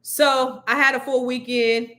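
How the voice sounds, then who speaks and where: A woman hosting a live show, chatting excitedly to viewers; her voice is deeper than usual from cigar smoke. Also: unhurried. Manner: chatty, excitable storytelling with many fillers. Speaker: host of a live money and news show. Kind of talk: casual chat to viewers.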